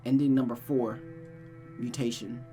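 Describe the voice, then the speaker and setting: A woman's voice: rumbling low, fairly even intonation, measured pace, mellow, soft background music. A woman reading a visual novel aloud as host, in a dramatic storytelling style.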